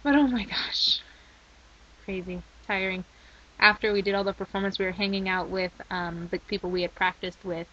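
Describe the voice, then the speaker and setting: Chatty young woman, voice going hoarse. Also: mid-range voice, steady tone, normal speed, fairly bright tone. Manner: chatty and rambling. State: losing her voice. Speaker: vlogger. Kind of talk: casual video diary. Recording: slightly dull lo-fi recording.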